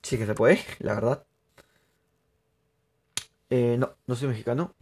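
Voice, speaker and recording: Young man, streamer, excitable, chatty, live game commentary. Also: medium pitch, a little slow. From Peru. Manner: chatty, excitable, laughs often, addresses viewers.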